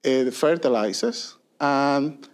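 A man's voice: mid-range voice, slow pace. A man, a guest answering interview questions earnestly, with many fillers and tag questions. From Venezuela. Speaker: guest, industry consulting leader.

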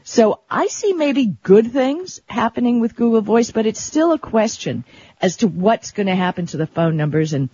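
A tech talk show co-host explaining things in a chatty, colloquial, conversational way, with a husky, rough-edged voice. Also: mid-range voice, expressive, moderate pace, dark tone, dry recording. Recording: dull, lo-fi audio.

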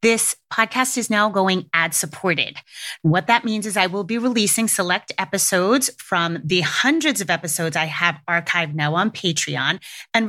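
A woman's voice: medium pitch, bright, forceful tone. A woman hosting a parenting podcast, chatty and frank, reading announcements and interviewing a guest. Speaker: podcast host.

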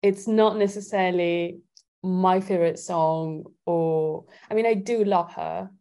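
Woman with a gravelly, mellow low voice. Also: steady tone, a little slow. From Italy. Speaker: guest singer-songwriter. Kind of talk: casual interview chat.

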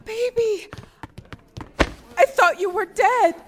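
Devoted female officer, audio drama, very high, slow, emotional. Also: a little hiss or hum. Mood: overjoyed. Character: devoted, emotional wife, a guard officer defector.

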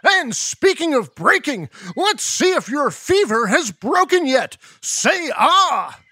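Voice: sits high, very animated, takes it slowly, bright, forceful tone, dry recording, exaggerated voice. A performer voicing a self-important male news reporter in a children's podcast sketch, who announces everything as breaking news in a dry studio recording. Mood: eager. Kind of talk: kids podcast comedy sketch.

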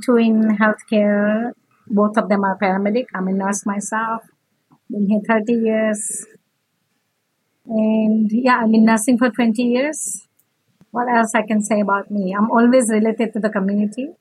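A woman, speaking earnest, halting as a nurse and election candidate. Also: fairly high pitch, little pitch movement, a little mellow, breathy edge, no room sound, low-quality recording. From India. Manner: earnest, halting non-native English.

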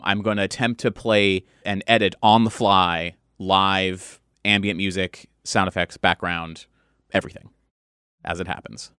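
A man with a smooth, clear voice chatting casually as a game master. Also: deep voice, steady tone, measured pace, pushed and bright, no echo, clean studio sound. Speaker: game master of a podcast RPG. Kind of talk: casual chat.

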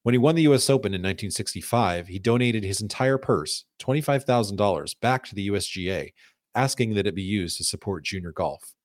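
Male narrator, somewhat deep, measured long-form storytelling for a narrative podcast, recorded very dry. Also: expressive, no room sound. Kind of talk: scripted narrative documentary narration with read-out quotes.

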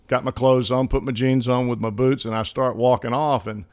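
A man's voice: medium pitch, fairly even intonation, normal speed. Middle-aged Southern man, country accent, homespun chuckling storyteller; mid-range voice. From Southern United States. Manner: folksy, chuckling, homespun sayings. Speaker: guest, former ballplayer. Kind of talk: casual interview storytelling.

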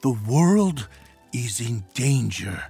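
A brash young demigod, played by a man with a gravelly, husky voice. He boasts defiantly, his pitch swinging wildly high and low. Faint music sits behind the dry, close sound. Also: sing-song, takes it slowly, warm tone, exaggerated voice. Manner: defiant, boastful, stammers when caught out.

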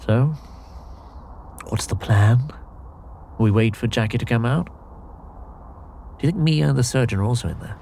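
A man's voice: mid-range voice, normal speed, vocal fry, long pauses, dark tone, a little hiss or hum, lightly acted. An actor playing a goofy, vain, lovelorn male sidekick in an audio drama, hesitant and teasing.

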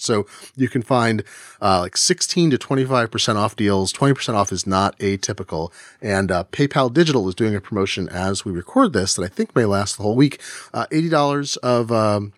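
Forties guy on a podcast, animated, swings way up for jokes, dead dry mic. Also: no room sound. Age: 40s. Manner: animated, chatty, jumps to a high voice for jokes. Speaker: podcast co-host. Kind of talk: casual chat.

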